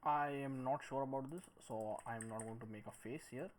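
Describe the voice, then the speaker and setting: A man in his thirties giving a screen-recorded tutorial, thinking aloud in a halting, musing way as he works. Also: mid-range voice. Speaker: 3D modelling tutorial presenter. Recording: noisy room with clicks and typing.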